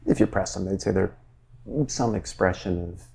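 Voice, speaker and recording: Guy in his 40s hosting an interview, gravelly low voice, earnest and thinking out loud. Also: moderate pace. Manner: earnest, searching, animated with hands. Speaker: interviewer, show host. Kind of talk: conversational interview. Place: wood-panelled library with bookshelves.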